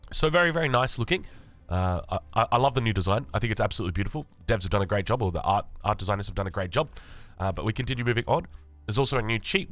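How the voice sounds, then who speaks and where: Raspy Australian man with a cold. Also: on the low side. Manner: chatty, reads notes aloud with asides. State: sick and just woken up. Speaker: gaming channel host. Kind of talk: casual patch-notes commentary.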